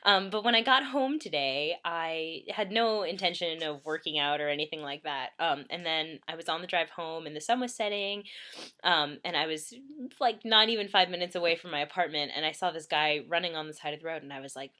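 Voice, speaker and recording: A woman's voice: low-pitched, fairly quick, pushed and bright. A young woman in her twenties chatting casually from bed in a vlog, slightly congested, full of ums and little laughs. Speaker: vlogger. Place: bedroom, in bed.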